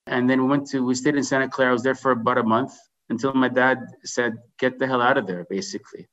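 A man's voice: medium pitch, steady tone, quick pace, a bit bright, dull audio. A middle-aged man tells his story in a casual, conversational interview style, often checking in with "right?". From grew up in Dubai and Canada. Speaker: podcast guest, insurance business executive.

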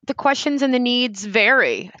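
A woman's voice: a little high, animated, normal speed, bright, forceful tone, no room sound, dull audio. A woman representing a helpline, answering interview questions in an earnest, explanatory way with frequent "you know" fillers.

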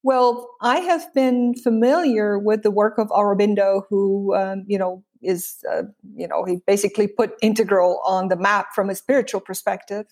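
A woman from Romania, a guest in a conversational interview, speaking reflectively with many pauses and restarts. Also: mid-range voice, fairly even intonation, a little mellow.

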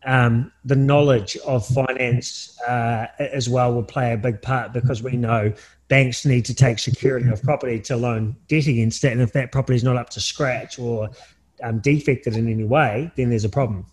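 A man hosting a podcast interview, asking questions in a friendly, halting way with many fillers. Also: medium pitch, steady tone, poor audio. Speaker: podcast host.

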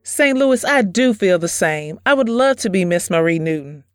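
A woman's voice: lowish voice, lively intonation, normal speed, faint music behind, lightly acted. A woman playing an affectionate young woman in love in an audio drama.

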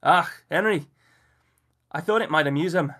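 A man's voice: fairly high pitch, a little fast, fairly bright tone, slightly rough. A man voicing a fussy, aloof male detective who puts on a mock French accent and speaks in precise, formal, haughty phrases. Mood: dry, amused.

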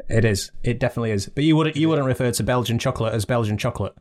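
A man's voice: medium pitch, racing, darkish tone. A man hosting a podcast, joking and chatty, sometimes stumbling over his words when he teases. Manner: joking, playful, stammers when teasing. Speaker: podcast host. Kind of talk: casual podcast chat.

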